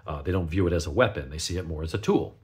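Male reviewer, low husky gravelly voice, stuffed up with a cold, talking casually and off the cuff. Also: fast talker, darkish tone. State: sniffly with a cold. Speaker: knife reviewer, channel host. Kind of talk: casual unscripted product review.

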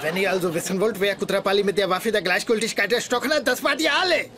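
A man's voice: high-pitched, fast, close to an everyday voice. An actor playing an eager, easily wounded young male party organizer in a German sitcom dub, with theatrical delivery. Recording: noisy TV sitcom audio.

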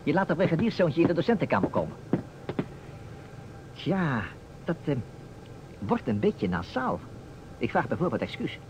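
A man's voice: a little high, huge pitch swings, moderate pace, pauses often. An actor playing a playful man who stages a detective game, with a theatrical manner, in an old radio play. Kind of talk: vintage Dutch radio play acting. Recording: dull, noisy old radio recording.

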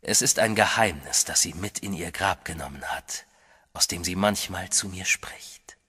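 A vampire master who commands an army of the undead. The voice is low and husky yet bright, measured and cold, dropping to a whisper for threats. Soft music plays beneath. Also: male voice, full character voice. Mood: cryptic. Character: sinister male vampire master, calm and menacing. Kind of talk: German radio horror drama.

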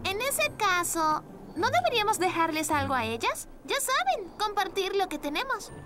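A woman voicing a cheerful, caring little girl who leads her friends in a dubbed children's cartoon, expressive and encouraging. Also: very high voice, moderate pace, very noisy. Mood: earnest.

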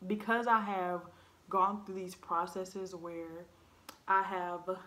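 A woman's voice: medium pitch, fairly bright tone. Earnest young woman opening up on camera. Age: 20s. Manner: earnest, blunt and reflective, with frequent ums and likes. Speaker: vlogger. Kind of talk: candid personal storytelling to camera. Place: living room at home. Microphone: camera in front at desk height.

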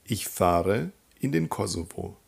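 Man, German teacher, husky low voice, very slow drill-style delivery. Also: sing-song. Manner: deliberate, repeats each sentence for learners.

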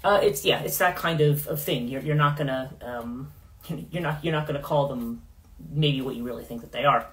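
A middle-aged woman hosting a casual livestream in her living room, rambling with many fillers and restarts, her voice going hoarse. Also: extremely low pitch, normal speed. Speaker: podcast host. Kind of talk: casual livestream talk on myth.

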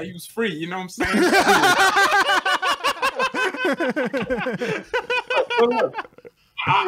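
A man's voice: high voice, background noise. A young man in his twenties, a guest rival fan, bantering playfully and teasing on a video call from a small room at home. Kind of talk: casual banter.

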